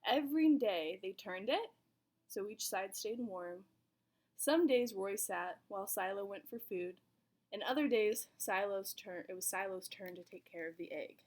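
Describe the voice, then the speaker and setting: A woman reading a children's picture book aloud in an expressive storytelling manner, with dramatic pauses. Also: medium pitch. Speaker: volunteer story reader.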